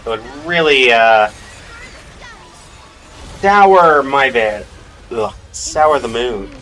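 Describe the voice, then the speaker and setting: Male game streamer, medium pitch, offhand self-directed commentary, game music in the background. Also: animated, drawn-out words, fairly bright tone. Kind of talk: casual gameplay commentary. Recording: music behind the voice.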